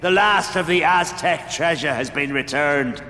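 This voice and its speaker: Evil older pirate captain, video game, growly n sneering, slow and sharp. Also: male voice, a little high. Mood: grandiose, commanding. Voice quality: gravelly growl. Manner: sneering, grandiose, drawn-out delivery. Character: menacing, theatrical older male pirate captain villain. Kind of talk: video game cutscene acting. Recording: reverberant cave echo, faint noise.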